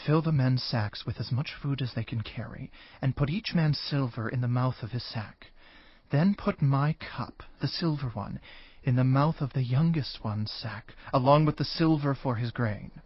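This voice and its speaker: An actor playing a stern, high-ranking governor who speaks formally and with authority in a scripture audio drama. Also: male voice, moderate pace, husky, dry recording, close to an everyday voice. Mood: measured command. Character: Joseph, commanding male governor of high rank. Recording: dry, slightly dull studio recording.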